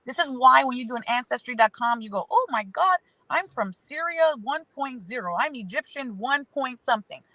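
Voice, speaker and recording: A woman with a bright, slightly high voice offers spiritual guidance as an astrology guest. She speaks emphatically and repeats phrases in rhythmic lists, heard close and dry. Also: expressive, normal speed, few pauses, dry recording, low-quality recording. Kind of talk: spiritual advice in conversation.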